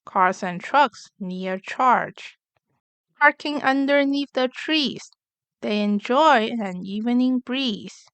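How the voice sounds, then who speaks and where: A woman reading a rhyming picture book aloud for young children, in an expressive, clearly enunciated storytelling manner. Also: on the high side, slow, dry recording. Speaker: children's story reader, channel host.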